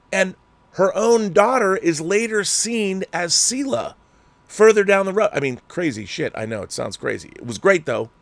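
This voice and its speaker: A man's voice: sits high, fairly bright tone, no room sound. A middle-aged man hosting a commentary show, ranting sarcastically and with exasperation. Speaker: show host.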